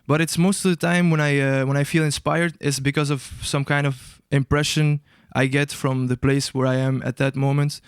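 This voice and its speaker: Smooth-voiced young man, hesitant and searching. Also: mid-range voice, little pitch movement, normal speed, few pauses, no room sound, clean studio sound. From Albania. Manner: hesitant, searching for words, many restarts. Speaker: guest musician. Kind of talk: casual interview answers.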